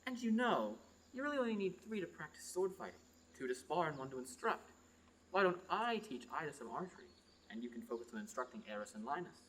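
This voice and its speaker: A man's voice: fairly high pitch, very animated, fast talker, fairly bright tone, poor audio. A man playing a dry, stern, scheming archer companion in a radio play. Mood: wry, persuasive.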